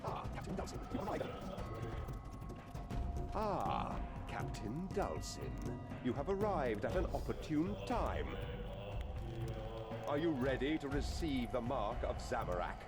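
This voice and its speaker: Theatrical dark priest, loud music. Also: male voice, mid-range voice, sing-song, unhurried, pauses often. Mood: ceremonious, probing. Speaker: game voice actor. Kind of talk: scripted fantasy game dialogue. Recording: loud background music over game dialogue.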